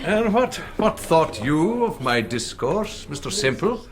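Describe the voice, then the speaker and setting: Pompous, lively-voiced Scottish preacher. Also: male voice, fairly high pitch, measured pace, a crowd in the background. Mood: smug, sociable. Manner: pompous, unctuous. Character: self-satisfied, pompous Scottish preacher off duty, fond of ale. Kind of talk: radio drama dialogue.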